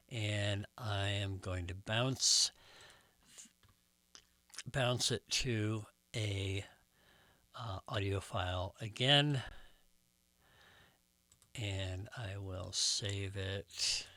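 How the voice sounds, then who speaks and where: A man giving a casual step-by-step tutorial, explaining things in a measured way with pauses. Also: on the low side, pushed and bright, no room sound. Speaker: host of a record collecting tutorial channel.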